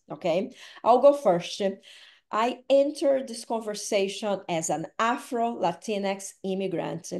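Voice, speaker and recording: A woman's voice: medium pitch, darkish tone. Woman hosting a panel. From Brazil. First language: Portuguese. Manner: measured, reads prepared remarks with tag questions. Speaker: host, staff of the organization. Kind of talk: scripted panel remarks.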